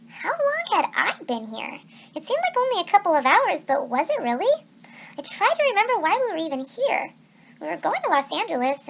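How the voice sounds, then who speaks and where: A woman reads an adventure story aloud in a very high voice. She narrates expressively, like a teacher reading a novel to students. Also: a bit bright, slight background noise.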